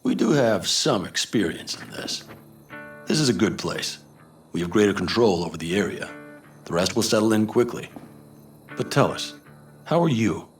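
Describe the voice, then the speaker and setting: An actor playing a steady, protective nomad man in a video game, plainspoken and reassuring. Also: on the low side, normal speed, pauses often, soft background music, lightly acted. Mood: concerned. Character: steady, kindly male nomad veteran, protective. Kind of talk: naturalistic video game acting.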